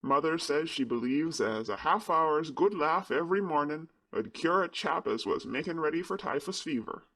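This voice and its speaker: A man's voice: fairly high pitch, animated, moderate pace, hardly any pauses, poor audio. A man voicing a cheerful Yorkshire country boy who speaks in broad dialect. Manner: broad dialect, eager and warm.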